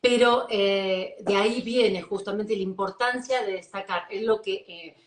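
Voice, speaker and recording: A woman from Buenos Aires with an Argentine accent, a psychologist explaining ideas enthusiastically in an interview, pausing with fillers. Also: mid-range voice, reverberant. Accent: Argentine Spanish, Buenos Aires. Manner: warm, enthusiastic, thoughtful with many fillers. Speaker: psychologist guest. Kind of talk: live-stream interview.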